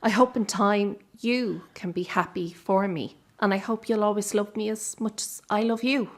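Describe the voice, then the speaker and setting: A woman's voice: mid-range voice, fairly even intonation, normal speed, natural voice. An actress playing a proud, direct young woman, a granddaughter with a mischievous streak, in a radio drama. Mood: nervous, earnest. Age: young adult. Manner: earnest, direct. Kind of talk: naturalistic radio drama acting.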